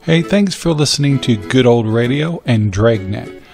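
Medium-pitched man doing a podcast outro. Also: animated, moderate pace, vocal fry, a little mellow, soft background music. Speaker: podcast host. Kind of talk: channel outro announcement.

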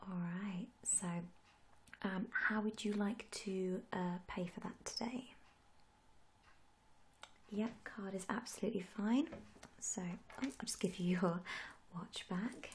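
A young woman speaking gently and softly in an ASMR roleplay, often slipping into a whisper. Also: mid-range voice, takes it slowly, long pauses, slight background noise. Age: young adult. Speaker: ASMR creator playing a tattoo artist. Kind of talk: soft-spoken ASMR roleplay. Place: small room indoors.

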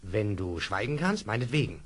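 A man's voice: on the low side, big pitch swings, normal speed, fairly bright tone, husky, exaggerated voice. An actor playing a secretive, persuasive middle-aged man in a German children's radio play, speaking with conspiratorial urgency. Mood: confiding. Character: secretive scheming man confiding in boys, smoothly persuasive. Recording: noisy old audio drama recording.

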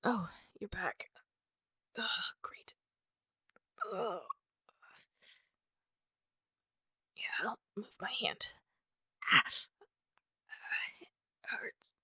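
A woman playing a wounded, guarded and prickly masked superheroine in an ASMR roleplay, half-whispering in a breathy, husky voice with halting, pained sighs. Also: medium pitch, pushed and bright, no room sound, full character voice. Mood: weary, pained. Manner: halting, sighing, pained delivery.

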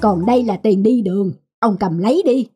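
A woman's voice: mid-range voice, dark tone, lightly acted. A woman voicing a nagging, skeptical middle-aged wife in a folk-tale cartoon, chiding over music. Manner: brisk, chiding. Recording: music behind the voice.

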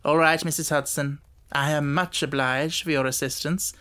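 A man's voice: on the high side, steady tone, normal speed, sharp tone. A man voicing a keen, self-assured Victorian detective with an emphatic, lecturing manner in an audiobook. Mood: brisk, approving.

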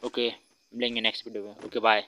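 A man's voice: on the low side, flat delivery, fast talker, bright, forceful tone, rough recording. A man giving casual commentary as host of a gaming video.

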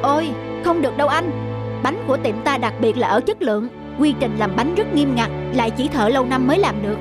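A woman voicing a principled, worried bakery employee who protests deferentially in an animated story. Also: high-pitched, brisk, music in the background. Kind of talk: animated moral-story acting.

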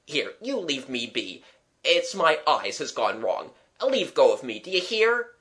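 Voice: medium pitch, big pitch swings, a little slow, a bit bright, voice pushed up. A reader voicing a flustered working-class young man, gasping, agitated and apologetic, in a storybook. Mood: upset, confused.

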